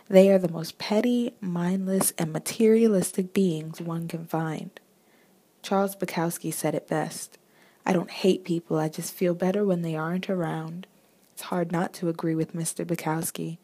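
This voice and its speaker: A young woman playing a misanthropic high school girl in a film voice-over, articulate, pompous and coldly contemptuous, with a creaky voice. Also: dark tone. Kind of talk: scripted film voice-over monologue.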